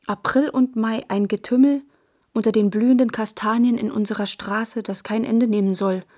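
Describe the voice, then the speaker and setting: A woman's voice: fairly high pitch, steady tone, normal speed, warm tone. A woman narrating scripted poetic prose as part of a radio reading.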